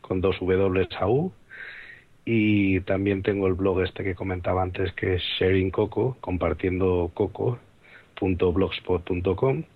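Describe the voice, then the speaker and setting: A man's voice: deep voice, steady tone, unhurried, dry recording, phone-quality sound. A man introducing himself plainly as a podcast guest over an online call. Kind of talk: casual chat.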